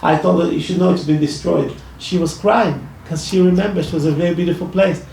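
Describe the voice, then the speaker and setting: Iraqi-accented man, gravelly, echoey and distant. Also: on the high side, darkish tone, a little hiss or hum. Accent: Iraqi Arabic. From Iraq. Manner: halting, many restarts, non-native phrasing. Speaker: rabbi speaker at a public discussion. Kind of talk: public talk, personal testimony. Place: library event room. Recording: echoey room, distant mic.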